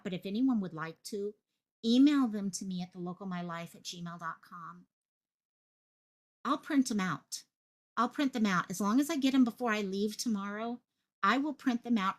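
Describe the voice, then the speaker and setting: A woman hosting her own online channel, speaking with passion, repeating phrases for emphasis and projecting her voice the way a stage actor does. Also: mid-range voice. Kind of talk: impassioned livestream commentary.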